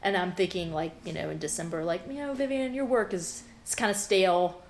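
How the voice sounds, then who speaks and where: A woman's voice: lowish voice, fairly quick, gravelly, a little hiss or hum. A woman from the American South, a guest artist talking frankly in an interview and laughing at her own jokes. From Southern United States (Memphis, South Georgia). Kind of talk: conversational interview.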